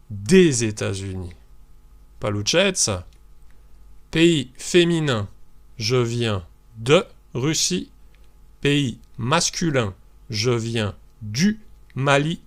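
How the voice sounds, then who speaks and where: A male French teacher from France giving a beginners' lesson. He speaks very slowly and carefully, lays out each model sentence for learners to repeat, and his pitch moves a lot as he goes.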